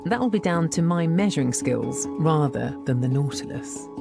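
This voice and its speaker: Low, gravelly woman presenting a documentary. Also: normal speed. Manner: lively, explanatory, lightly self-deprecating. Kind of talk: documentary narration and on-location explaining. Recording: music bed under the voice.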